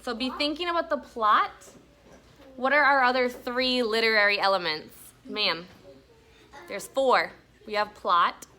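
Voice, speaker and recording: A woman's voice: on the high side, measured pace, bright, forceful tone. A woman teaching an elementary class, asking questions and reading a story aloud to pupils. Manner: asks questions, echoes pupils' answers. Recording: faint room noise.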